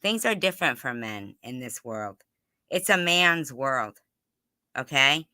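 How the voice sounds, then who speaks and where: A woman's voice: extremely low pitch, expressive, a little slow, sharp tone, clear, resonant tone, no echo, clean studio sound. A woman in casual, argumentative conversation, insistent and repeating herself to press her point.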